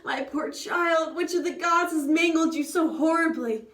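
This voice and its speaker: A woman reading the lines of a grieving old father, crying out loudly. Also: high voice, moderate pace, voice pushed up. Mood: anguished. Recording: echoey room, distant mic.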